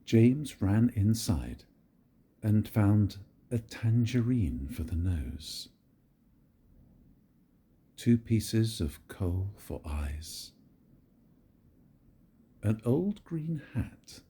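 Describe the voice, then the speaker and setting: An older man reading a picture book aloud to his grandchildren in a gentle, very expressive storytelling manner. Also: lowish voice, drawn-out words, pauses often, breathy edge.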